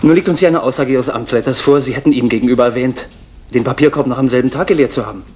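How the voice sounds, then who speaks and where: A man's voice: mid-range voice, fast, a little mellow, natural voice. An actor playing a persistent male police interrogator in an East German radio drama, formal and pressing. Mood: probing. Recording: old radio recording, faint noise.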